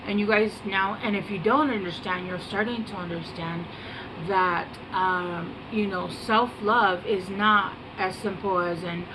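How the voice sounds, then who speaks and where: Soft-spoken woman over an air-conditioner hum. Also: mid-range voice, steady tone, unhurried. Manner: soft-spoken, meandering, hesitant with many fillers. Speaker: tarot reader. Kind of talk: casual rambling tarot reading to camera.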